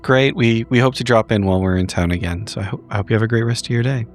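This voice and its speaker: Low-voiced, composed, polite agent, played by a man. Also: fast talker, close to an everyday voice. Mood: polite, friendly.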